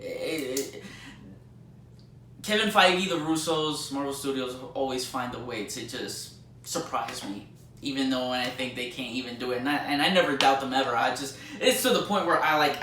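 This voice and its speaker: A man giving a casual, unscripted movie review, gushing excitably and often stammering over repeated words. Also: on the high side. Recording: roomy echo, faint background noise.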